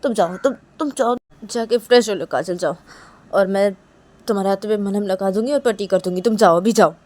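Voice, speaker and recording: A woman's voice: mid-range voice, brisk, darkish tone, lightly acted. A woman voicing an upset young woman who scolds out of care, insistently repeating her phrases in an improvised dramatic story. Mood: urgent, concerned.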